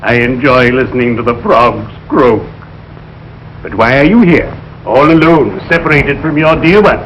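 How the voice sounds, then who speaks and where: An actor playing a solemn, courteous, morbidly genteel undertaker in a 1940s radio sitcom, with formal, lugubrious diction. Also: male voice, medium pitch, moderate pace, fairly bright tone, lightly acted. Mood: solemn, genial. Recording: dull, noisy old radio broadcast.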